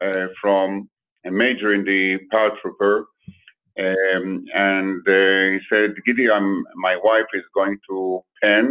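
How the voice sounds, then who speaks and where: Low-voiced man telling stories over a narrow line. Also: little pitch movement, measured pace. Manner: hesitant, with many fillers and restarts. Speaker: interview guest. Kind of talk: conversational storytelling. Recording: phone-like narrow sound.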